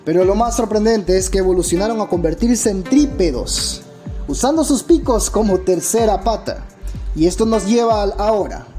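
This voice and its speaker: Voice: mid-range voice, mellow. A narrator giving a scripted documentary-style account with a dramatic, opinionated storytelling manner. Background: background music.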